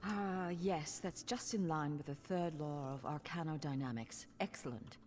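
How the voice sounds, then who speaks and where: An actress playing a scholarly, businesslike mage official in a fantasy video game, formal and polite. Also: female voice, sits low, a little slow, darkish tone. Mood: absorbed, pleased. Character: scholarly, businesslike female mages guild official. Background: game music.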